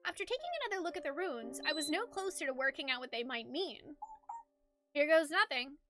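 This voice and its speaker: A woman's voice: high-pitched, fairly quick. A woman streamer reading a game's story aloud expressively and chatting casually about her playthrough. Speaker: streamer, narrator of a game playthrough. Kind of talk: expressive storybook-like game narration with casual chat. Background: loud game music.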